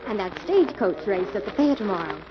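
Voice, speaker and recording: A woman's voice: mid-range voice, big pitch swings, a little fast, natural voice. A woman playing an eager young assistant to a crime fighter in a 1940s radio drama. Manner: bubbly, eager. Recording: noisy, muffled old radio recording, crowd murmur.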